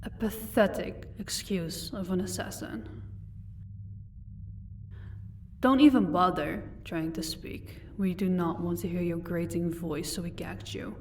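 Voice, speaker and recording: A woman's voice: fairly low pitch, measured pace, slight background noise. Woman acting composed female vampire, slightly distant. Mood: contemptuous. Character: commanding female vampire, protective wife, cold to captives. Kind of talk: audio roleplay acting.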